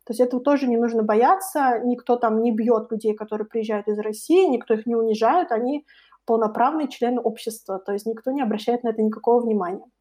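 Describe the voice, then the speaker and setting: High, mellow young woman explaining things informally. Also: quick pace. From Russia. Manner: explanatory, informal, illustrates with imagined quotes. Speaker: interview guest, co-founder of a study-abroad project. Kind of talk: conversational interview answer.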